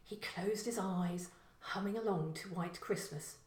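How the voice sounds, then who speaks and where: A woman reading a short story as scripted narration, in a measured, expressive storytelling manner. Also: fairly low pitch, roomy sound.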